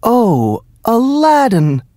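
Excitable mother acted by a man, raised high voice, exclaiming, swooping pitch, storybook. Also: very slow, dry recording, studio quality, full character voice. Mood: excited. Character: excitable, poor mother of a young boy.

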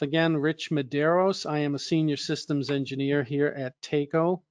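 A slightly hoarse man moderating an online training webinar, with a somewhat high voice. He talks in a relaxed, conversational way with fillers and restarts. The sound is close and dry. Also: no echo.

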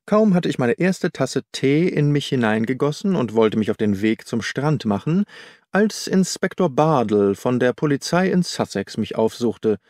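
A man reads a first-person detective story as an audiobook narrator. His mid-pitched voice is measured and articulate, recorded close and dry. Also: animated, darkish tone, no echo.

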